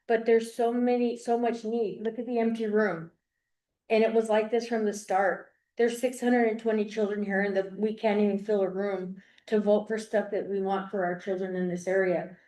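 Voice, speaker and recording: A woman's voice: mid-range voice, darkish tone. An older woman, a grandmother, giving an earnest, rambling public comment at a school board meeting, often repeating herself. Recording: echoey meeting room.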